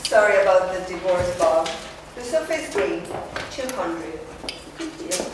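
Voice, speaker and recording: A woman in a dramatic play reading, acting a brisk, sassy dealmaker, blunt and teasing. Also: lowish voice, big pitch swings. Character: brisk, sassy woman striking hard bargains. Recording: echoey hall, distant mic, noisy.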